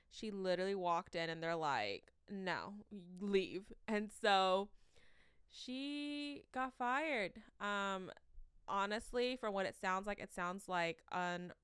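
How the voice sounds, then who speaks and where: A young woman hosting a casual solo podcast from the corner of her bedroom, with a shrill voice, rambling and self-mocking between fillers, sleepy. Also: fairly bright tone, clean tone, no echo, studio quality. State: sleep-deprived. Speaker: podcast host.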